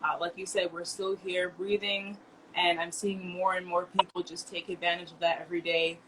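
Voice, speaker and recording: A woman's voice: on the low side, level tone, normal speed, fairly bright tone, a little hiss or hum, low-quality recording. A woman hosting an interview show, affirming her guest and asking prepared questions. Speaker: podcast host. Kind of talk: interview host.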